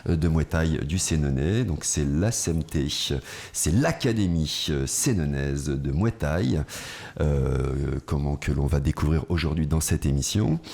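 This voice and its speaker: Host: man, very low and husky voice, lively, friendly radio chat. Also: a little slow. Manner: friendly, asks short questions, laughs often. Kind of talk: casual radio interview. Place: radio studio.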